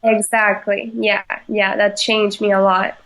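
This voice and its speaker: A young woman from Venezuela, a chef answering interview questions in a halting way, with many fillers and restarts. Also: mid-range voice, flat delivery, fairly bright tone, poor audio. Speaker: chef, podcast guest.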